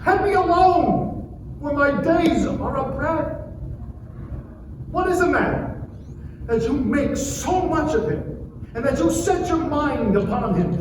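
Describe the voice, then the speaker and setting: A performer in a dramatic scripture reading, playing an anguished man who pleads with God in solemn, impassioned phrases. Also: high voice. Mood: accusing. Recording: distant, very echoey, noisy live room.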